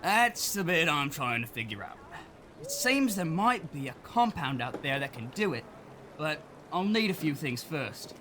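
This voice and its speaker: A bookish street boy, with a highish voice that swings widely in pitch. He muses haltingly like a young inventor in an audio drama, with faint noise behind. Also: big pitch swings. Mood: musing, eager. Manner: hesitant, musing.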